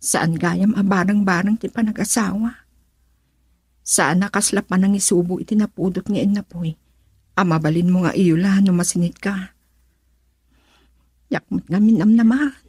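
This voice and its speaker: A woman's voice: mid-range voice, moderate pace, long pauses, darkish tone, husky, dry recording. A woman acting an unhappy young mother with an Ilocano accent in a radio drama. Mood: wistful. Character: unhappy young mother regretting her marriage.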